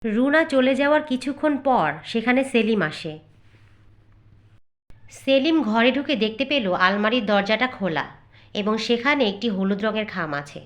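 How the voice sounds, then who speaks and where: Fairly high-voiced woman narrating a story. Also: lively intonation, unhurried. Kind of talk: scripted cartoon story narration.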